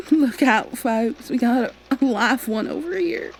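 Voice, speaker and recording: A woman's voice: on the high side, animated, takes it slowly, creaky, a little hiss or hum, pitched above the performer's own voice, heavily acted. Woman putting on a mocking, sneering police colleague. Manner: mocking, drawling taunts. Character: sneering human police colleague, taunting bully. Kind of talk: ASMR roleplay acting.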